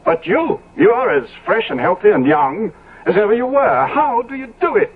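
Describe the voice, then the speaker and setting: An actor playing a decadent, ageing English aristocrat in 1970s radio drama, urbane, droll and tired of pleasure. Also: male voice, high voice, big pitch swings, moderate pace, slightly rough, heavily acted. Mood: curious, envious. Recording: tinny old radio recording, crowded background.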